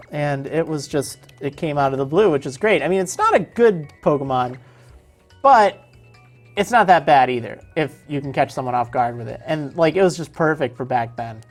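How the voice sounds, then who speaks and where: A woman streaming a video game in casual chat, answering viewers as she plays, her voice strained and tiring. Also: low voice. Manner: chatty, answers viewer chat while playing.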